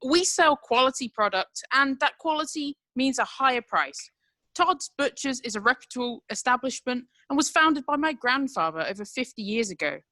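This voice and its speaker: Woman playing a proud, high-voiced butcher, dry sound. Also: animated, normal speed, pushed and bright, no room sound. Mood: proud, formal. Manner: proud, accusing. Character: proud, indignant male butcher in 1910s court. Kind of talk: theatrical courtroom play acting.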